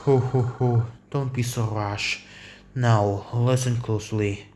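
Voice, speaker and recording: An actor voicing a jolly old cookie sage who talks in a calm, measured way with unhurried chuckles, in an animated fantasy fandub. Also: mid-range voice, lightly acted. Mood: calm, amused. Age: older. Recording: voice over background music.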